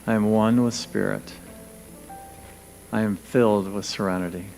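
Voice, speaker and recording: A man leading a guided meditation, speaking gently and soothingly in short, spaced phrases with pauses. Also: lowish voice, fairly even intonation, creaky, low-quality recording. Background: background music.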